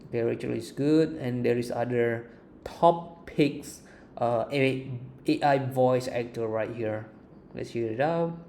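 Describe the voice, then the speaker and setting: A man presenting an informal software walkthrough as a tutorial host. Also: medium pitch, slow, gravelly. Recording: faint background noise.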